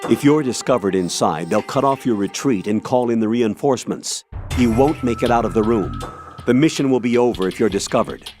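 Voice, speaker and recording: An actor playing a composed military commander in a video game, giving a firm, deliberate, instructional mission briefing. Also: male voice, medium pitch, expressive, a little mellow, lightly acted. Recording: faint game music behind the voice.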